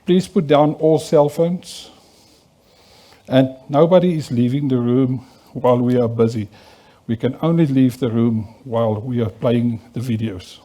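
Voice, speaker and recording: A man with a South African Afrikaans accent opens a conference as its chairperson. He has a dark, mellow tone and speaks at a middle pitch, moving back and forth between Afrikaans and English. His welcome is formal and repetitive. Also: fairly even intonation, unhurried. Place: conference auditorium.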